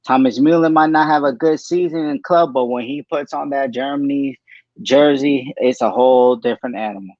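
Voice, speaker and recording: Excited man on a dull lo-fi line. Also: mid-range voice, normal speed, dry recording. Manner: emphatic, excited. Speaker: podcast co-host. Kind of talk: casual chat.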